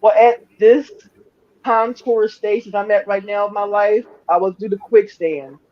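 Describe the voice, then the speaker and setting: A woman with a deep voice, a guest in a casual chat, blunt and joking. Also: steady tone, unhurried. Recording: slightly dull lo-fi mic with faint noise.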